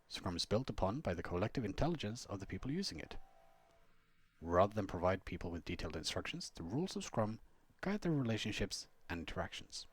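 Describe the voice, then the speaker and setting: A man with a fairly low, husky voice narrates an instructional script. His intonation moves around a lot as he explains things in measured steps with conversational asides. The sound is close and dry. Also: no room sound. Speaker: blogger and Scrum trainer reading own blog post.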